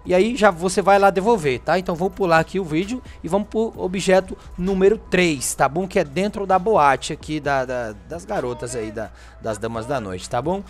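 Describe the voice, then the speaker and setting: A man's voice: high voice, moderate pace, hardly any pauses, faint music behind. A Brazilian man hosting a gaming channel, giving a casual, chatty walkthrough in informal speech peppered with little check-in tag questions. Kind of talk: casual video game walkthrough commentary.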